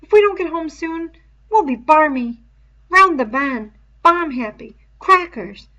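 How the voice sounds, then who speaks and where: Woman putting on an anxious, high-pitched whiny schoolboy. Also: expressive, unhurried, bright, forceful tone, heavily acted. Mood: urgent, earnest. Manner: pleading, insistent, ungrammatical schoolboy speech. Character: anxious, whiny young schoolboy with glasses, earnest and indignant. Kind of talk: dramatized novel read-aloud.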